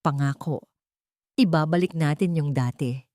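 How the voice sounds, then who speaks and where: A woman's voice: deep voice, measured pace, a little mellow, dry recording. A Filipino husband voiced by a woman narrator pleads with his wife in a tender, coaxing way. He is apologetic and sweet-talking, in a dry storybook recording. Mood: apologetic, pleading.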